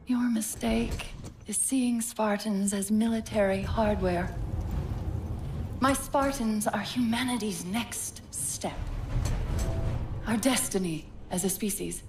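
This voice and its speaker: An actress playing a proud, defiant older female military scientist with measured, clipped, imperious delivery in a game cinematic. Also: medium pitch, music in the background. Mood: defiant, intense. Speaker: military scientist being interrogated. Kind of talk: dramatic game cinematic acting.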